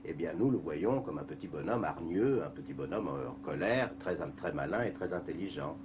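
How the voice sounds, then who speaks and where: A man, a co-creator of the show, explaining in a measured way with fillers. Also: rumbling low, lively intonation. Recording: dull archive tape with hiss.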